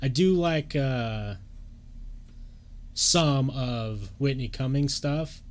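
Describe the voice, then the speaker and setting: A young man co-hosting a casual podcast, easygoing, with his voice jumping up when he gets excited. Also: medium pitch, drawn-out words. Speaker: podcast co-host. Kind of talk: casual chat.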